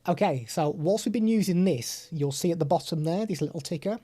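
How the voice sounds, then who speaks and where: British man, mellow live-stream host. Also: a little high, fairly quick, breathy edge. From United Kingdom. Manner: chatty, explains step by step while chatting with viewers. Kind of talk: live-stream product demo.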